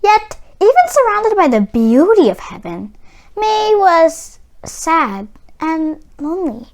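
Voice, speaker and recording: A woman narrates a children's picture book in a high voice. She reads slowly and carefully, with very lively swings in intonation. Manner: careful, storytelling delivery. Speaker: children's story reader. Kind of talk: expressive scripted storybook narration.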